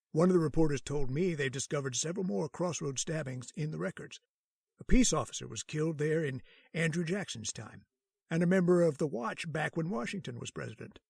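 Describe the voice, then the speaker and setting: A gravelly old-school doctor. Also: male voice, a little high, a little mellow, dry recording. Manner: measured, reasoning, authoritative. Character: calm, learned hospital doctor. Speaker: voice actor. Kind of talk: old-time radio drama acting.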